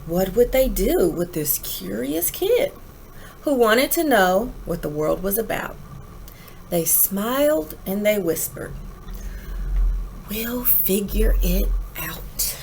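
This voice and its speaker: Woman doing a kids read-aloud, slow n very animated, dramatic pauses, bit of background noise. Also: medium pitch, gravelly, low-quality recording. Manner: dramatic pauses, emphatic phrasing. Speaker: teacher reading a picture book aloud. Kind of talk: expressive children's read-aloud, rhyming storybook narration.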